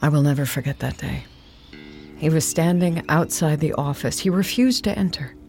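A woman looking back on years of devoted servitude in an audio play. Her voice is very low for a woman, and she speaks in measured, confessional phrases. Music plays clearly behind her. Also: a little mellow. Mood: regretful. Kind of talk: audio drama testimony monologue.

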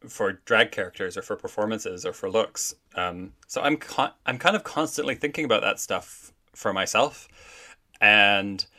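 A man's voice: lowish voice, normal speed, sharp tone. A man from Canada, a drag performer, chatting casually as a guest on a podcast, full of "like" and "I think". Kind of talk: casual interview chat.

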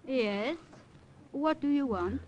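Guarded resistance woman, older, highish voice, lively swings. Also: slow, dull audio. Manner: guarded, hushed then commanding. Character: secretive older French woman resistance helper. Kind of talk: 1940s radio acting.

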